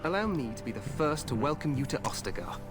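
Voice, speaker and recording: A man's voice: medium pitch, big pitch swings, fast talker, music in the background, lightly acted. An actor playing a cheerful, overconfident young human king, speaking in a hearty, regal way.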